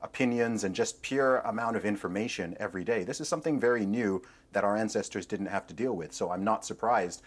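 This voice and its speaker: A man appearing as a guest on a TV debate show, giving measured commentary that builds its points as a list. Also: lowish voice, breathy edge. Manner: steady, builds points in lists.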